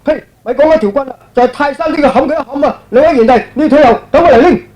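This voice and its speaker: A man voicing a brash, excitable young prince in a Cantonese radio drama, cocky and eager. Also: very high voice, fast, voice pushed up, poor audio. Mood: cocky, challenging.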